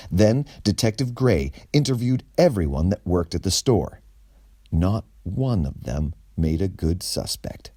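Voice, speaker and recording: A man reading a children's book aloud as a storyteller in a small bookshop, in a slow, expressive way. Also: low-pitched, slightly rough, dry recording, clean studio sound.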